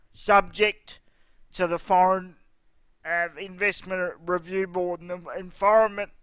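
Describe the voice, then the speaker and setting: A man's voice: high-pitched, fairly bright tone, smooth, no room sound. A man hosting a video, giving scornful, indignant commentary. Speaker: video host. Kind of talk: opinionated commentary.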